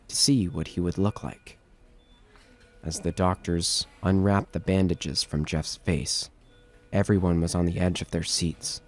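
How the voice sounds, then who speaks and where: A man's voice: low-pitched, darkish tone. A man giving scripted narration of a horror story as the narrator of an audio drama. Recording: music bed under narration.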